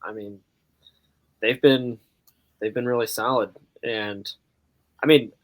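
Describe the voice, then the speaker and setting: A man's voice: mid-range voice, steady tone, takes it slowly. A man co-hosting a sports podcast, chatting casually about the game. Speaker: podcast co-host.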